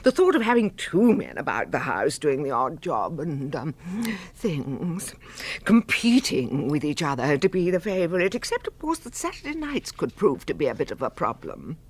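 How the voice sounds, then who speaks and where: An actress with a British accent playing a scatty, saucy middle-aged suburban wife in a radio drama, chatty and arch. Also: female voice, sits low, very animated, normal speed, husky, full character voice. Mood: saucy, amused.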